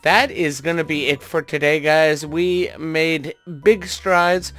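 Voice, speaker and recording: Guy doing a chill lets play, kinda higher voice, talking thru what he's doing, music behind him. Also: fairly even intonation, slow pace, fairly bright tone. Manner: informal, thinking aloud while playing. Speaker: host of a gaming video series. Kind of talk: casual gameplay commentary.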